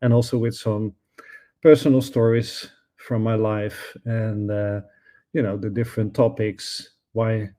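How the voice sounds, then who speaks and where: A middle-aged man with a Dutch accent and Dutch as his first language, a professor answering interview questions thoughtfully, pausing and restarting as he searches for words. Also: on the low side, little pitch movement, mellow. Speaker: guest, professor and author.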